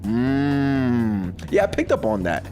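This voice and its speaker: A man's voice: medium pitch, sing-song, takes it slowly, mellow, soft background music. A man streaming a casual reaction to video game voice lines, talking in slang with joking exasperation.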